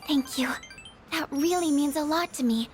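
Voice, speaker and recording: A woman playing a shy, gentle, insecure teenage girl in a video game, with a hesitant, apologetic, stammering way of talking. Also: sits high, normal speed, soft background music, close to an everyday voice. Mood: earnest, downhearted. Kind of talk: video game character dialogue voice acting.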